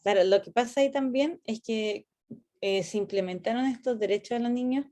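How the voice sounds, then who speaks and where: Chilean woman teacher, mellow voice. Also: a little high, fairly quick. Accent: Chilean Spanish. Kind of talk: class discussion. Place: online class.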